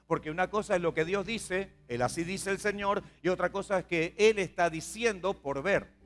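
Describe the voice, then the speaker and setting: A man's voice: high-pitched, normal speed, faint music behind. A man with a Rioplatense Spanish accent preaching a sermon as a pastor, conversational and repetitive, putting questions to his listeners. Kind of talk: sermon to a congregation.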